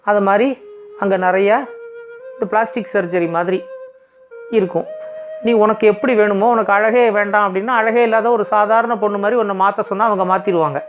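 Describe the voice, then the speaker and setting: A man's voice: sits high, steady tone, brisk. A man narrating a children's bedtime story informally, in simple conversational language. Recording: thin phone-like sound, music behind.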